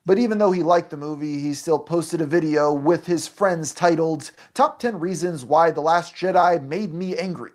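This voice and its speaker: A man reading scripted documentary narration in a measured, even way. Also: fairly high pitch.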